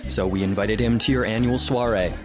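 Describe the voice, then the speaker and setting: An actor playing a reasonable but stubborn coder and company founder in an audio drama, measured and precise. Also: male voice, lowish voice, fairly even intonation, lightly acted. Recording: music playing behind the voice.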